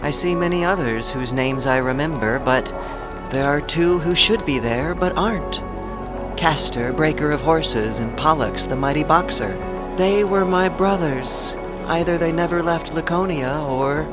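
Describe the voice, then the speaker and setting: A woman's voice: low-pitched, measured pace, loud music behind the voice. A woman playing a sorrowful, regretful noblewoman weighed down by shame in an epic audio drama. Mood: sorrowful, explaining. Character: sorrowful, ashamed noblewoman, regretful and self-reproaching.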